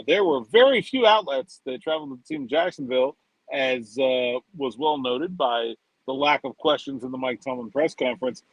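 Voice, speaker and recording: A man with a slightly higher-than-average voice talks casually as a sports podcast co-host. The sound is dry, with no room echo. His delivery is conversational and given to rambling asides.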